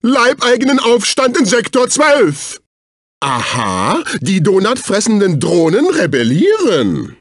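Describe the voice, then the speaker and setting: A man's voice: high-pitched, wildly swooping pitch, slow, no room sound, clean studio sound, exaggerated voice. A male actor voicing a fussy, snobbish, servile executive assistant in a dubbed cartoon video game, with a prissy, condescending, exclamatory delivery. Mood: indignant, haughty. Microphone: close studio microphone.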